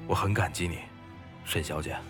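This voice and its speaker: A man's voice: deep voice, steady tone, normal speed, over music, close to an everyday voice. An actor playing a young, upright scholar turned fisherman, reserved and formal, in an audio drama. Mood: wistful, pensive.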